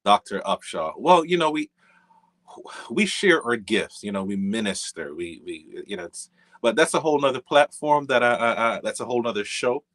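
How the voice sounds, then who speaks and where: A man's voice: mid-range voice, sing-song, normal speed, fairly bright tone. A middle-aged man from Jamaica with a Jamaican accent, a jazz musician talking as a guest in an easygoing, rambling way. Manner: easygoing, rambling, with many fillers and restarts. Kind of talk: casual interview chat.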